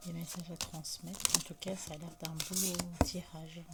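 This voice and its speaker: A woman with a hoarse voice from a cold gives a slow, calm card reading, often half-whispering. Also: fairly low pitch. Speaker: tarot card reader. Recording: loud background noise.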